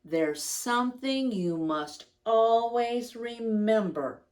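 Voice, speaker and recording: Woman voicing a tender, earnest young soldier, slowly. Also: very high-pitched, lively intonation, far from the mic, exaggerated voice. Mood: loving, earnest. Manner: gentle, serious, deliberate.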